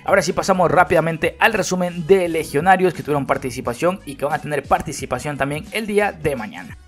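A man hosting a football news channel, reading the day's headlines in a brisk newsy voice-over and speaking directly to viewers. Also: on the high side. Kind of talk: read-out sports news narration. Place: voice-over, not recorded at the pictured fields. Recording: voice-over with faint background music. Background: background music.

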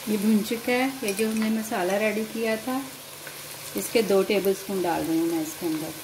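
A woman with an Urdu-influenced Hindustani accent calmly explains a home cooking recipe step by step to viewers. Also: medium pitch, normal speed, background noise. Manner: calm, explanatory, addresses viewers directly. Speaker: home cooking channel host. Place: home kitchen at the stove.

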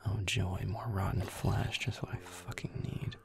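A man playing a grumpy, sarcastic werewolf boyfriend in an audio roleplay, muttering irritably over a game in a hushed, gravelly voice. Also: sits low, level tone, unhurried, exaggerated voice. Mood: sardonic, fed up. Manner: sardonic, irritable, swearing under breath.

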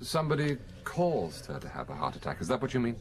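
A man's voice: medium pitch, wildly swooping pitch, fast talker. A man playing a nervous, guarded secretary in a radio drama, defensive and hesitant. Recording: old radio, background chatter. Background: murmur of an inn crowd.

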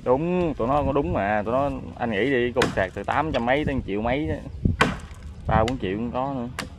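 A man's voice: mid-range voice, a little fast, very noisy. A man filming a friend outdoors, bantering casually in a teasing, easygoing way. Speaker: cameraman friend. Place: outdoors in a field.

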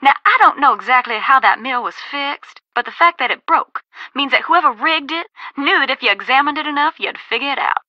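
An actress playing a folksy, cautious former ghost hunter in a video game, with a Southern US accent and a drawling, cautionary manner. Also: female voice, high-pitched, expressive, fairly quick, no room sound, muffled recording. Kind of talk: video game dialogue, conversational phone-call acting.

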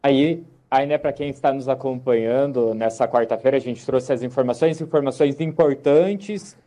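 A man's voice: mid-range voice, moderate pace, warm tone, dry recording. A young man giving a live news report outdoors into a handheld microphone. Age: young adult. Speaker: field reporter. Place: outdoors in a park-like event ground.

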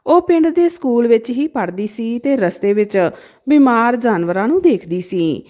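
A woman narrating a scripted emotional moral story as a storyteller, with an expressive, dramatic delivery. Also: fairly high pitch, normal speed.

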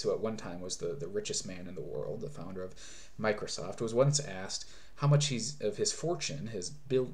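A man in his thirties delivers a sermon from a small room at home, speaking into a webcam at desk distance. His voice sits somewhat low, and his preaching is earnest and explanatory, with frequent restarts as he works through a point. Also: a little mellow. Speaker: pastor.

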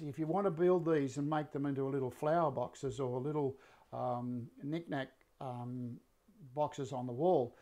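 A man in his sixties with a slightly croaky voice, casually explaining a woodworking build to a live audience from his workshop, full of fillers and restarts. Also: fairly high pitch. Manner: relaxed, rambling, many fillers and restarts. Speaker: woodworking teacher and live-stream host. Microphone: fixed camera, mid-distance framing.

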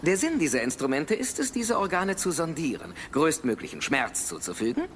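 A man's voice: on the high side, big pitch swings, moderate pace. A man playing a gloating, sadistic media mogul in a dubbed action film, speaking formally and pompously. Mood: coldly sadistic. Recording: film soundtrack with faint noise.

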